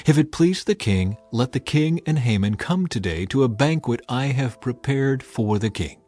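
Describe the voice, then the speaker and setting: A man voicing a resolute young queen in a solemn, measured manner for a dramatized scripture reading. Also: medium pitch, animated, darkish tone, close to an everyday voice. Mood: courteous.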